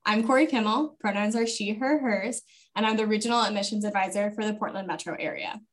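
A woman's voice: mid-range voice, fairly quick. A woman with an American accent co-hosting a podcast in a friendly conversational style. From Rocky Mountain foothills. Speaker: podcast co-host, admissions advisor.

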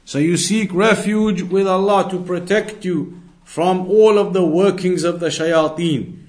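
A man's voice: high voice, a little slow. A man giving an Islamic lesson as a religious teacher, explaining in an instructive way and restating points for emphasis. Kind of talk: Islamic lesson, reading Arabic text and explaining it.

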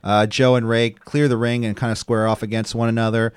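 A man's voice: medium pitch, level tone, fairly quick, clear, resonant tone, no room sound, studio quality. A man co-hosting a casual podcast, running through wrestling results from his notes with frequent ums and uhs. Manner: reads match notes, many ums and uhs. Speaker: podcast co-host recapping wrestling. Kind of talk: casual chat, play-by-play recap.

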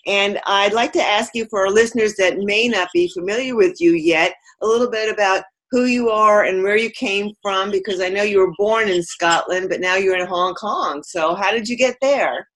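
A woman hosting an interview podcast, a psychotherapist by trade. She asks her questions in a measured, conversational way, at an ordinary middle pitch. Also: a bit bright, low-quality recording.

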